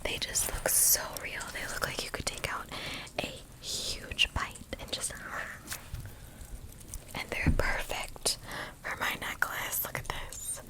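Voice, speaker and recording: A woman speaks entirely in a soft, breathy whisper. She performs an ASMR roleplay as a shopkeeper, addressing the listener gently and without hurry. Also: slow pace, rough recording. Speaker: ASMR host playing a candy shop keeper.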